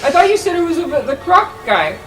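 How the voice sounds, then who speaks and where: Casual gamer with music clearly behind. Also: very high voice, sing-song, fast, pushed and bright. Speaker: player in a tabletop role-playing game. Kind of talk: casual game chat. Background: background music.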